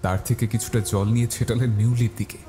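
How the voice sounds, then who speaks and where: A man's voice: medium pitch, fairly even intonation, fairly quick. A man narrating a scripted horror story in a dramatic style. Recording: loud music bed behind. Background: music.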